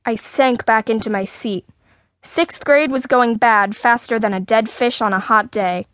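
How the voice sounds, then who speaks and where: A woman's voice: fairly high pitch, measured pace, fairly bright tone, no echo. A woman reading a children's story in the first person, as an indignant, outspoken sixth-grade girl. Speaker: audiobook narrator.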